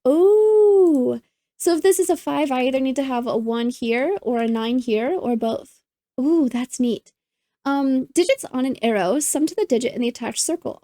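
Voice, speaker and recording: A woman hosting a puzzle channel, slightly hoarse as she loses her voice, thinking aloud and easily delighted while she solves. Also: a little high, warm tone, dry recording.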